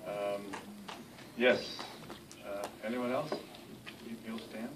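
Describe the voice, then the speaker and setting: A man's voice: fairly low pitch, steady tone, slow pace. A man moderating a question session informally, with a wry, jokey way of calling on people. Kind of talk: informal hosting, calling on audience members. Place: live public question-and-answer event. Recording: distant microphone, clearly noisy event recording.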